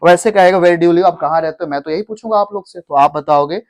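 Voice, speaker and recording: A man teaching English in to a class, repeating each phrase slowly for students to echo. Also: fairly high pitch. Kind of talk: classroom English lesson in Hindi.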